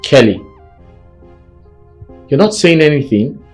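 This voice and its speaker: A man playing a concerned, caring man in a screen drama, gently insistent. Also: fairly high pitch, sing-song, slow, faint music behind, heavily acted. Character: concerned, caring man coaxing someone to talk.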